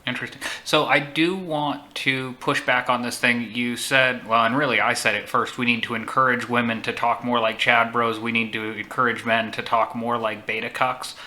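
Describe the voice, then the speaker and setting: A man hosting a casual chat, speaking in a measured, deliberate way. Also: mid-range voice, flat delivery, sharp tone. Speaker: host.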